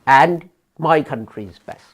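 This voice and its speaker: Middle-aged imam, slow measured sermon voice, builds emphasis then drops off. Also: male voice, fairly high pitch, slightly rough. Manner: deliberate, slow preaching with rising emphasis and pauses. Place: small studio before a printed backdrop. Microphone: fixed camera, head and shoulders framing.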